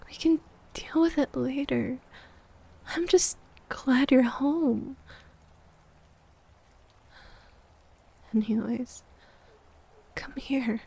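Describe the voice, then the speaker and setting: A woman's voice: high voice, slow pace, vocal fry, pauses often, warm tone, slightly rough, exaggerated voice. A woman playing a jealous, needy, wounded girlfriend in an ASMR roleplay audio drama, sighing and often dropping toward a whisper. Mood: tender, pleading.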